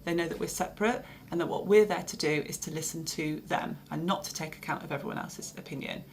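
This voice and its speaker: Creaky-voiced English woman explaining calmly. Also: deep voice, quick pace, a little hiss or hum. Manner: steady, informative, with fillers. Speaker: advocacy service worker. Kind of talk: explaining a service to camera.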